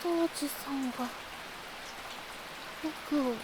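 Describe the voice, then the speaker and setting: A woman voicing a timid, innocent young man in a Japanese audio drama, soft-spoken, hesitant and stammering. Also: sits high, drawn-out words, background noise. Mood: stunned, hushed.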